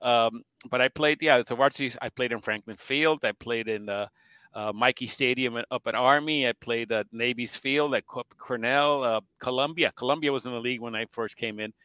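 A man's voice: mid-range voice, fairly quick, bright, forceful tone, smooth, no room sound. A man in his sixties from Puerto Rico, a guest broadcaster telling stories in a casual interview, often chuckling and restarting his sentences.

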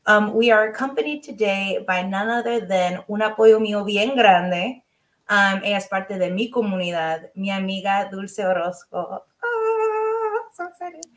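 A woman's voice: mid-range voice, expressive, moderate pace. Effusive woman podcast host. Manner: enthusiastic, effusive, frequent ums. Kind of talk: warm podcast interview, mixing in Spanish phrases.

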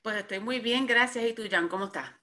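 Bright-voiced Puerto Rican woman professor. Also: a little high. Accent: Puerto Rican Spanish. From Puerto Rico. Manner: thoughtful, halting, with frequent "eh" pauses. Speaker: law professor, guest. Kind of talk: interview answers.

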